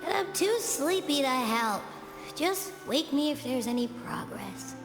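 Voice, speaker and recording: A woman's voice: high-pitched, animated, unhurried, over music. An actress playing a sleepy, grumpy female companion in a video game, with a drowsy, dismissive manner. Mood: drowsy, irritated. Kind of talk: video game character acting. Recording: long echoing reverb.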